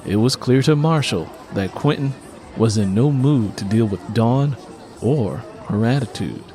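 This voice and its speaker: Man narrating fiction with lively pitch. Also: unhurried, a faint crowd, a little hiss or hum. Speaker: audiobook narrator. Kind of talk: scripted fiction narration, acting out dialogue.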